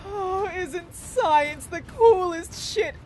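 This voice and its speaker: A woman playing an enthusiastic, excitable scientist in a sci-fi parody, with an instructive manner. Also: extremely high pitch, very slow, soft background music, heavily acted. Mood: elated. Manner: exuberant, instructive. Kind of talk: animated sci-fi parody voice acting.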